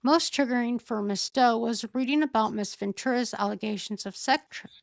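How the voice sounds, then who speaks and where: A hoarse woman reading a court filing aloud and pausing to think aloud between passages. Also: on the high side, no room sound. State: hoarse, losing her voice. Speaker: true-crime commentator.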